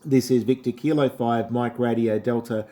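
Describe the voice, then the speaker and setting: A man's voice: mid-range voice, fairly even intonation, normal speed, noisy background. An Australian man from South Australia, an amateur radio operator chatting easily through a ham radio contact, with many fillers.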